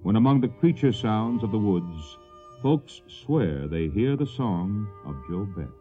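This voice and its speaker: Deep, dark-voiced folksy storyteller. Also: male voice, lively intonation, a little slow, faint music behind. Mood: wistful, hushed. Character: solemn, folksy storyteller of an old legend. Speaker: narrator. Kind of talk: 1980s radio drama narration. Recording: dull, muffled radio recording.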